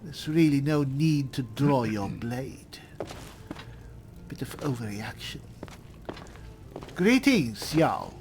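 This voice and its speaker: A man's voice: medium pitch, big pitch swings, slow pace, pauses often, darkish tone, soft background music. A man roleplaying a haughty elf as he comments on a fantasy game, dry and condescending. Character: haughty male high elf spellsword. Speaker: gamer, roleplay series host.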